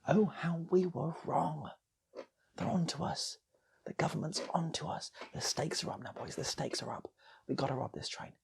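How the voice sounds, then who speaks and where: A man's voice: a little high, moderate pace, vocal fry, a little mellow, husky. A British man improvising a comic story, rambling and repeating phrases for effect as he goes. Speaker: channel host and storyteller.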